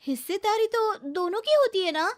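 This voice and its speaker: A woman voicing an outspoken, questioning young bride in an animated moral story, earnest and emphatic. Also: very high-pitched, normal speed, pitched above the performer's own voice. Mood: earnestly questioning.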